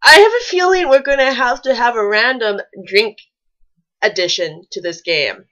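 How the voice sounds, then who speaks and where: A woman's voice: on the high side, expressive, normal speed, a bit bright, highs cut off. A woman co-hosting a comedic fan fiction reading, reading aloud and breaking off for casual, mock-exasperated commentary.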